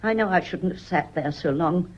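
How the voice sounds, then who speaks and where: An actress playing a deferential, mournful old maidservant in a radio play, with a wistful, lingering way of speaking. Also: female voice, lowish voice, moderate pace, lightly acted, rough recording. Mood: wistful, pointed. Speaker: radio drama actor.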